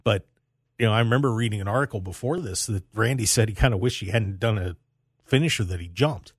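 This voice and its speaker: An American man hosting a sports talk radio show, telling stories in an animated way with emphatic repetition. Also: lowish voice, quick pace, slightly rough, no echo, studio quality.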